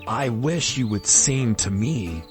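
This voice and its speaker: A sly, hungry male fox from a children's storybook, played by a woman, speaking slowly in a lowered voice over clear music. He flatters and wheedles, drawing out his smooth talk. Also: exaggerated voice. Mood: flattering, wheedling. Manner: smooth-talking, wheedling, drawn-out delivery. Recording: music behind the voice.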